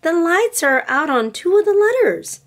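A woman's voice: very high voice, normal speed, pitched above the performer's own voice. A woman voicing an eager little girl from a picture book, reading her words expressively and carefully. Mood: excited. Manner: expressive, carefully enunciated read-aloud.

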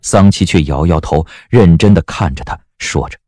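A man's voice: low voice, moderate pace, warm tone, slightly rough, dry recording, studio quality, soft background music. A man narrating a period audio drama as a storyteller, reading scripted prose dramatically.

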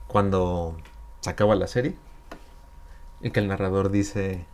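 A man's voice: low voice, measured pace, a little mellow. A young man co-hosting a gaming video, chatting casually and explaining fighting game moves to his partner. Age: young adult. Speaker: gaming channel co-host. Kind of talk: casual gameplay chat. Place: indoor home recording space. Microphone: lapel mic and headphones. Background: game music.

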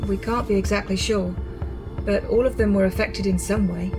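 A woman's voice: medium pitch, fairly even intonation, normal speed, over music, natural voice. A woman playing a composed resistance medic in a radio drama, explaining things in a blunt, matter-of-fact way. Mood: grave, explanatory.

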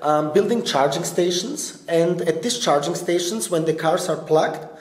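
A man talks in English, a for him, with a slightly raised pitch. His answers are earnest and a little halting, full of fillers. The room around him sounds open and echoey, like a press corner at an event. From born in Bosnia, raised in Austria. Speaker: startup business developer, interview guest. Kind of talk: conversational interview answers. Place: event press area in front of a logo backdrop, indoors. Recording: roomy event interview recording.

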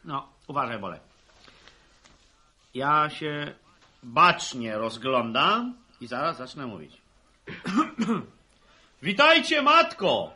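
A man's voice: fairly high pitch, sing-song, extremely slow, pauses often, pushed and bright, heavily acted. A man acting a boisterous young farmer and amateur actor in a 1960s Polish radio serial, announcing things in a showy, clowning way. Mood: playful.

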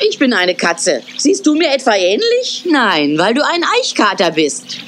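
A woman's voice: medium pitch, very animated, a little slow, background noise. A woman voicing a grumpy, scornful adult female cat in a cartoon dub, snapping and scolding.

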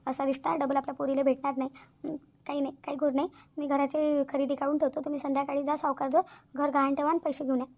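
A woman's voice: high voice, level tone, fast, a little mellow. A woman voicing a contrite village wife and devoted mother in a cartoon, speaking earnestly. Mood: contrite, resigned. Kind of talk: cartoon voice acting.

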